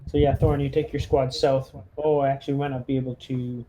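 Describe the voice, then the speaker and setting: A man's voice: mid-range voice, level tone, brisk, noisy background. A man playing a tabletop role-playing game, casually directing the action as a player.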